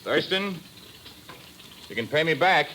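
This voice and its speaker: An actor playing a tough, guarded, resentful pilot in a vintage radio drama, with a gruff, defensive manner. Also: male voice, on the high side, expressive, unhurried, pushed and bright, a little hiss or hum, low-quality recording. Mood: grim.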